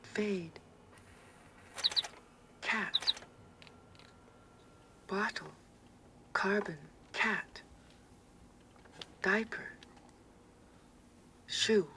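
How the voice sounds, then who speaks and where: A woman reading single words aloud softly and deliberately, one at a time. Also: medium pitch. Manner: soft, deliberate, word by word. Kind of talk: slowly reading a word list.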